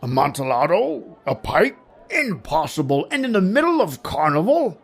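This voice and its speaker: A man puts on a tipsy, vain connoisseur of wine for an old-time radio horror play. He is insistent and boastful, throwing out his words as exclamations in a slightly high male voice. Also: very animated, normal speed, slightly rough, full character voice. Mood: astonished.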